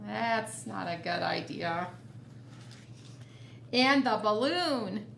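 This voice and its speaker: Lady doing storytime for kids, expressive w little asides, echoey room, bit of noise. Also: mid-range voice, drawn-out words, gravelly. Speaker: library storytime reader. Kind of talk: expressive picture-book read-aloud for children. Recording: roomy echo, noticeable background noise.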